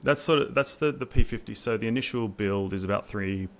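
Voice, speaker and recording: A man's voice: lowish voice, brisk. A middle-aged man talking as an engineer in an informal technical interview on a video call, often repeating words. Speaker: staff software engineer, interview guest. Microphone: video call, wireless earbuds.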